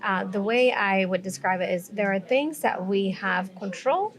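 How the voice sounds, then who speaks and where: A woman's voice: mid-range voice, normal speed, crowd noise. A woman from Mexico with a Mexican accent, a guest in a casual podcast chat, talking things through in a reflective way and often checking in with "right?". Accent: Mexican Spanish. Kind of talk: casual conversation.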